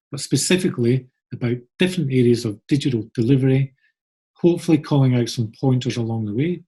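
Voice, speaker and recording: A man's voice: medium pitch, warm tone, slightly rough, poor audio. A middle-aged Scottish man giving a friendly, upbeat pre-recorded conference talk about his agency. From Scotland. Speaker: agency co-founder presenting.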